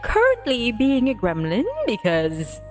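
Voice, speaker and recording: A woman's voice: fairly high pitch, unhurried, over music. A woman livestreaming a visual novel, casually reading narration aloud with a playful manner. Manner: playful, excitable in asides.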